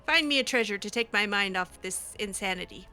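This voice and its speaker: A woman's voice: mid-range voice, expressive, fairly quick, music in the background. A woman giving casual, in-character roleplay commentary on a video game, sarcastic and exasperated. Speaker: gamer playing in character.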